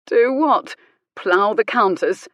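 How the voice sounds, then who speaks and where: A woman's voice: medium pitch, expressive, slow, a bit bright, dry recording, voice pushed up. A woman voicing an arrogant, mocking lord in an audiobook romance, with a bored London drawl. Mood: smug, mocking.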